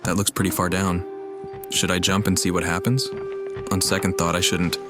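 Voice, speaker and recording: A man giving casual, low-key, matter-of-fact gameplay commentary as the host of a gaming video. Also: flat delivery, normal speed. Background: game music.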